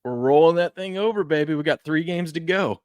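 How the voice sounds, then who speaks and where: A man in his thirties hosting a live sports betting show, chatting casually and swinging between mumbles and loud hype. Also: on the high side, brisk, smooth, no room sound. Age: 30s. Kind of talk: casual live-stream chat.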